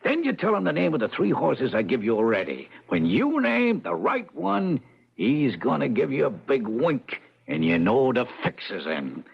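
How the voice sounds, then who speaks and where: An actor playing a streetwise talking horse in an old-time radio comedy, with slangy, cocky tipster patter. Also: male voice, mid-range voice, wildly swooping pitch, normal speed, vocal fry, breathy edge, muffled recording, exaggerated voice. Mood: confident, coaxing. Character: streetwise, wisecracking male talking horse who tips races.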